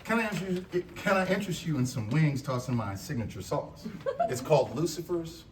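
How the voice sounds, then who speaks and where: A man's voice: fairly high pitch, expressive, a little fast, close to an everyday voice. A man playing a cheeky, proud tailgate barbecue cook in a comedy table read. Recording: very noisy room, distant mic.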